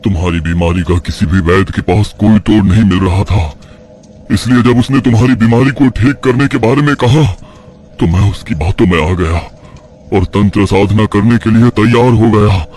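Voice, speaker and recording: A man's voice: on the low side, normal speed, a little mellow, close to an everyday voice. A man playing a devout village priest and troubled father, telling his tale earnestly in a horror audio story. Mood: confessional.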